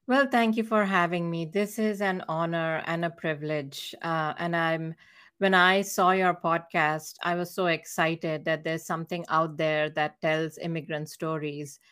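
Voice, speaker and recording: A woman with an Indian accent talks as a guest on an interview podcast. Her voice is a little low for a woman. She speaks casually, with a polite and appreciative manner. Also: fairly even intonation, a little slow, a bit bright, clear, resonant tone.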